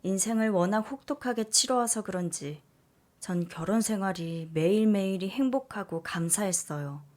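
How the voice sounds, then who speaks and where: A woman narrating a first-person story aloud, in a calm, even manner. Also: mid-range voice, moderate pace. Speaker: story reader.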